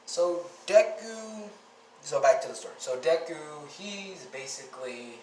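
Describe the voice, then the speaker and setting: A man's voice: a little high, animated, unhurried, darkish tone. Guy w a stuffy cold, scratchy voice, rambling n fixing himself, noisy background. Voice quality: scratchy, raspy. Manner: rambling, with frequent self-corrections. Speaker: fan-fiction storyteller. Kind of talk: casual rambling storytelling. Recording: roomy, distant mic, noisy background.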